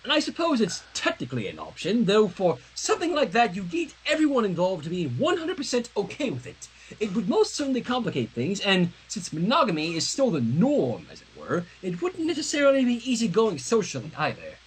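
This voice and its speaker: A man's voice: high voice, wildly swooping pitch, brisk, husky, heavily acted, low-quality recording. A man voicing a refined, opinionated teenage girl in a cartoon fan story, firm, fussy, wordy and formal. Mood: considering.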